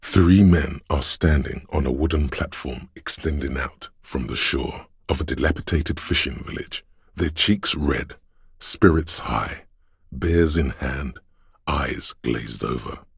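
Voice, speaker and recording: A man voicing a brooding, all-seeing spirit of a city in a video game, narrating slowly and solemnly in a gravelly, husky voice. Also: a bass voice, no echo, clean studio sound, exaggerated voice. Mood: brooding, observant. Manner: solemn, poetic, measured. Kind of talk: slow atmospheric second-person narration.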